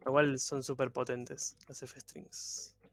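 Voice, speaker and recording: A man's voice: mid-range voice, flat delivery, takes it slowly. A man, teaching code in an online workshop with patient, step-by-step explanations over a video call. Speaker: programming instructor.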